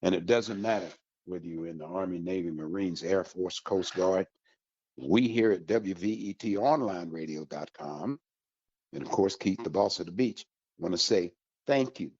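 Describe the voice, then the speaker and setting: An American man hosting an informational radio talk, speaking with emphatic, deliberate, motivational phrasing. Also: darkish tone, breathy edge. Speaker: radio host. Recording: muffled, roomy, echoey low-fidelity sound.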